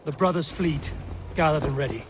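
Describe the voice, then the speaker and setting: An actor playing a resolute Viking war leader in a video game, speaking tersely and solemnly. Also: male voice, fairly high pitch, normal speed, heavy background noise, close to an everyday voice. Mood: purposeful. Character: resolute Viking warrior leader, measured and commanding. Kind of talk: cinematic video game acting.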